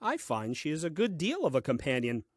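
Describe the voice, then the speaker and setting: A man's voice: a little high, huge pitch swings, a little fast, dry recording. An actor playing a grumbling, self-important first man reading his diary in a comic audio play, with dry, fussy delivery. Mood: smug.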